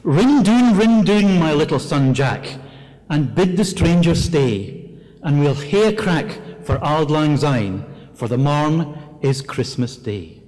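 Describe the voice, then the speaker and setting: A warm, hospitable old farmer speaks in broad Scots, as a Scottish man recites him. He talks slowly, in a voice a little high for a man. A long hall reverb trails every line. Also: animated. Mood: welcoming. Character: hearty old farmer welcoming a stranger.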